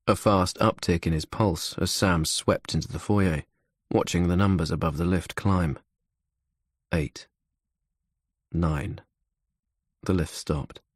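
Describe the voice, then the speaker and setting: A man's voice: low-pitched, dry recording, studio quality. A man reading scripted thriller narration as an audiobook narrator.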